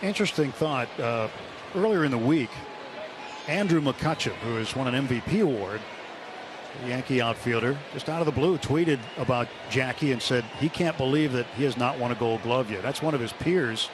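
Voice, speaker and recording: A man's voice: mid-range voice, normal speed. Laid-back male radio color commentator. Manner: relaxed, anecdotal, small restarts. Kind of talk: casual booth chat. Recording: radio broadcast with crowd noise behind.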